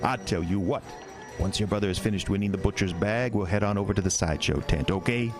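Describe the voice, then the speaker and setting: An actor playing a sly, sinister male carnival owner who talks in a smooth, teasing, drawn-out way in a horror radio drama. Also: fairly low pitch, lightly acted. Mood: coaxing. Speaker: carnival showman. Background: music score.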